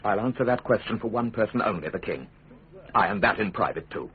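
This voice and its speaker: A wry, principled statesman in a radio drama. His lowish voice is measured and dry yet very expressive. It comes through a thin phone-like band with faint noise. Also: husky. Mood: earnest. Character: wry, cautious, principled English statesman, middle-aged.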